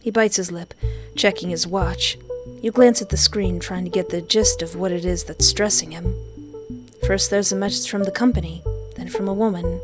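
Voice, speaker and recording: A woman's voice: fairly low pitch, fairly even intonation, moderate pace. Woman narrating a game over music. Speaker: game streamer. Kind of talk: expressive read-aloud gameplay narration.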